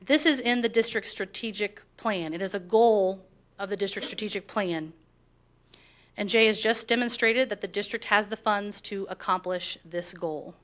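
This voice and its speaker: A woman's voice: medium pitch, normal speed, fairly bright tone. Woman reading a firm prepared statement. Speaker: teachers' union negotiator. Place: board of education meeting room.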